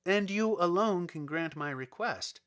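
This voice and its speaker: Guy doing a storybook tin man, earnest and pleading, high bright voice, takes his time. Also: animated, measured pace.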